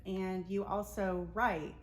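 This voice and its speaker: A woman's voice: mid-range voice, fairly even intonation, slow. A woman hosting a casual interview in an encouraging, affirming way. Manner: encouraging, often affirming the guest. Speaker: interview host. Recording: echoey room with faint noise.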